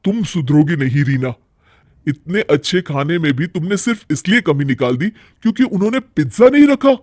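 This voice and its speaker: Guy acting a naggy caring young husband in a cartoon story, very expressive, voice jumps around, dry audio. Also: fairly high pitch, moderate pace, no room sound. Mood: exasperated. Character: young husband, caring but exasperated, nagging. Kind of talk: animated Hindi moral-story cartoon acting.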